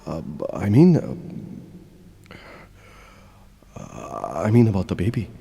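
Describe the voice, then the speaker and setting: Man acting a lovesick, hesitant young Indian man, swooping pitch. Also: wildly swooping pitch, creaky, dark tone, slightly rough, exaggerated voice. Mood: nostalgic, awkward. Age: young adult. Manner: hesitant, halting, repeats words. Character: young man secretly in love, awkward, tender, anxious. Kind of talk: audio play acting with introspective monologue. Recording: long reverb, music underneath. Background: music.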